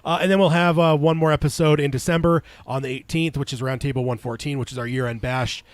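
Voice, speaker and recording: A man's voice: on the high side, fairly even intonation, quick pace, dry recording. A man co-hosting a casual podcast, talking in a rambling way with lots of "uh" fillers and a slightly congested voice. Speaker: podcast co-host.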